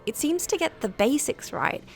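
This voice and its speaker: A British woman reading a scripted review as narration, in a measured, articulate and formal way. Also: high voice, very animated, creaky, slight background noise.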